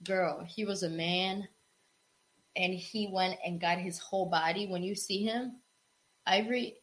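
A woman co-hosting a casual podcast chat, telling animated stories and quoting people as she goes. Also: on the low side, unhurried. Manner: animated, quotes people in acted asides. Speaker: co-host.

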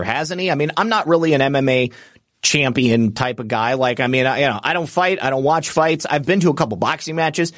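Male show host, mid-pitched, dry close mic, emphatic off-the-cuff monologue with lots of fillers. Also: no room sound. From United States. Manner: rambling and emphatic, with frequent fillers and restarts. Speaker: political commentary show host. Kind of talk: unscripted political commentary monologue.

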